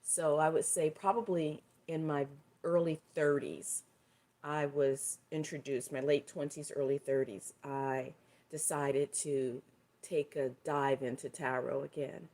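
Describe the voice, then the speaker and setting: A middle-aged woman with a low, husky-dry voice talks on her live stream. She has a touch of hoarseness, and she rambles easily, circling back and fixing her words as she goes. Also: fairly even intonation, a little slow, darkish tone. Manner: loose and rambling, with fillers and self-corrections. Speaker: live stream host and tarot reader. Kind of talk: casual spoken livestream talk.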